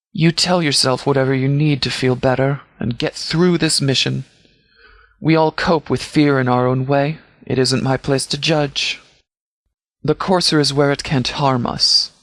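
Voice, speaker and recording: A man playing a stern, composed sheriff in a video game, speaking in a measured, formal, authoritative way. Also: mid-range voice, fairly bright tone, dry recording. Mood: grave, measured. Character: stern, composed male sheriff leading a post-apocalyptic militia. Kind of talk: scripted video game dialogue.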